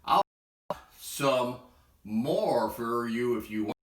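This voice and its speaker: A man's voice: mid-range voice, animated, takes it slowly, roomy sound, far from the mic, a little hiss or hum. A man voicing a lonely young smoke-breathing animal who is eager to please, in a storybook reading. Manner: forlorn at times, eager at others.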